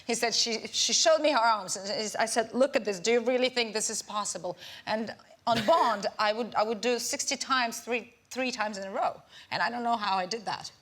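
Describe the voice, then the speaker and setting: An actress on a talk show sofa, telling a story with laughter and pausing to search for words. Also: female voice, on the high side, fairly bright tone. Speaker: guest actress. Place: TV studio with audience.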